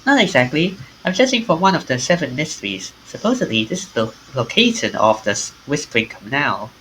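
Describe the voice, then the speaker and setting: A man's voice: a little high, very animated, brisk, sharp tone, slight background noise, rough recording. A man voicing a playful, eager young woman who hunts occult legends in a visual novel.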